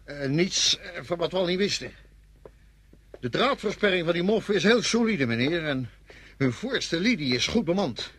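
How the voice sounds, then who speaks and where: An actor playing a soldier in a radio drama, reporting to his superior with hesitant, formal deference in a husky voice. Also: a little high, lively intonation, normal speed, a bit bright. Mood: dutiful reporting. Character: male army subordinate reporting to his superior.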